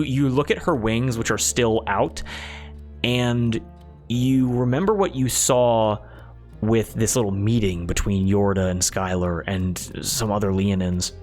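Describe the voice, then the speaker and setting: Male game master, improvised fantasy narration with repeated phrasing, music underneath. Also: medium pitch.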